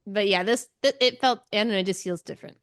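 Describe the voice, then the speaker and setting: A woman's voice: mid-range voice, fast talker, fairly bright tone. A woman co-hosting a podcast, talking about a book in a casual, tentative and agreeable way. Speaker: book club podcast co-host. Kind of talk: casual book discussion chat.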